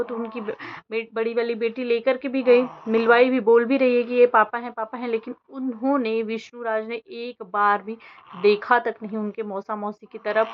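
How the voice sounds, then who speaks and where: High-voiced woman vlogger, dull lo-fi recording. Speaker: vlogger giving commentary. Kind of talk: casual opinionated commentary. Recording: dull lo-fi audio with faint noise.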